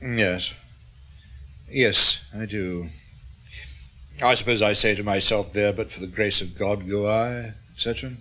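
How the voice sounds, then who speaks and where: An actor playing a reflective, wry older British ex-intelligence officer in a radio drama, musing and measured. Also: male voice, lowish voice, steady tone. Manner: musing, measured, gently teasing. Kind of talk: naturalistic radio drama acting.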